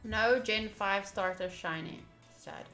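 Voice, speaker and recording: A woman's voice: mid-range voice, slow pace, a bit bright. A woman streamer giving casual playthrough commentary on a visual novel and reading lines aloud. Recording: roomy sound with faint game music. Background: faint game music.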